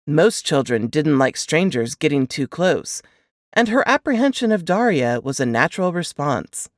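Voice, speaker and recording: A woman reading scripted fiction aloud in an expressive audiobook narration style. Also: low-pitched, moderate pace, no room sound, clean studio sound.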